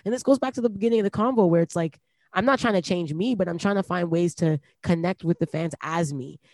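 A woman's voice: lowish voice, fast talker, darkish tone, no echo. A woman in her late twenties chats casually as an interview guest. She is reflective and loose, leaning on "like" as she thinks aloud. Her voice sits close and dry. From Canada. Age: late 20s. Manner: reflective, lots of "like" fillers, laughs easily. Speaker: rapper guest.

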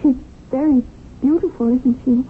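A very high-pitched actress playing a wounded, plain wife in an old radio drama. She is knowing and quietly reproachful, placing each phrase with deliberate care. The recording is dull and noisy, like an old broadcast. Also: steady tone, lightly acted. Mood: hurt, bitter. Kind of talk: 1970s radio drama acting.

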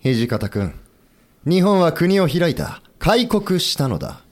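An actor playing a resolute, Western-minded navy commander in a historical audio drama, speaking formally and persuasively with assurance. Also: male voice, fairly high pitch, very animated, takes it slowly, darkish tone. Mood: insistent, persuasive.

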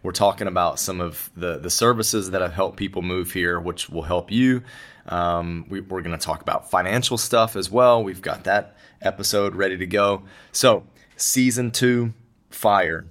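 A man's voice: deep voice, normal speed, a bit bright. A man co-hosting a podcast in a casual, conversational style. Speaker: podcast co-host. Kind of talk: casual podcast chat and sponsor reads.

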